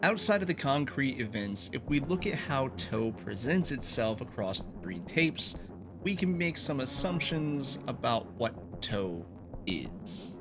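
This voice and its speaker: A man's voice: medium pitch, sing-song, unhurried, noisy background. A man hosting a video essay, reading a scripted, analytical explanation. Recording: voice over background music. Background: background music.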